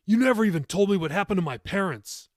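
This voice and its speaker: A man's voice: high-pitched, animated, quick pace, dry recording, studio quality, voice pushed up. A man voicing an angry, callous teenage boy who demands answers in audiobook dialogue. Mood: bitter, accusing. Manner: loud, demanding, interrupting.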